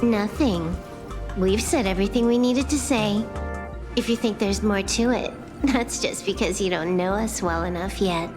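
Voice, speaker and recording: A woman voicing a wry, teasing young female hacker in a game, speaking in an unhurried, dry manner. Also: mid-range voice, lightly acted. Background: game music.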